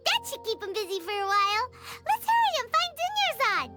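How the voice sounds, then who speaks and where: A woman voicing a small, excitable, childlike fairy companion in a video game, with a squeaky voice, chatty and dramatic with lots of exclamations. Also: fairly quick, full character voice. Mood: sly. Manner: exclamatory, speaks of herself in third person. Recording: music behind the voice.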